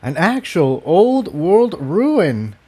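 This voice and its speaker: Man voicing an earnest female pony sorceress, pitched up. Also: very animated, very slow, darkish tone, husky, heavily acted. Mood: awed.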